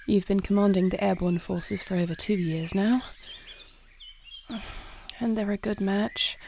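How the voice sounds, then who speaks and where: A woman playing a dignified, dutiful young warrior princess in a fantasy audio roleplay, speaking formally and with measured, stately diction. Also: mid-range voice, fairly even intonation, vocal fry, a little mellow, slight background noise. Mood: anxious self-talk.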